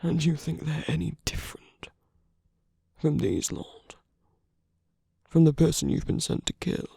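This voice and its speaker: Man playing a slow, breathy, whispering villain. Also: a little high, lively intonation, no echo, studio quality, exaggerated voice. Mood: scornful, persuasive. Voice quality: soft, breathy. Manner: slow, teasing, murmuring, often dropping into whispers. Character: suave, teasing male villain at a royal masquerade. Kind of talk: intimate ASMR roleplay acting.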